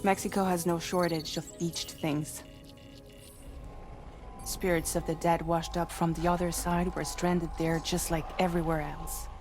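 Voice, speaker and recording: An actress playing a composed, persuasive woman who recruits a courier in a cinematic video game, speaking in a measured, earnest way. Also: fairly low pitch, little pitch movement, music in the background. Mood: grave, expository.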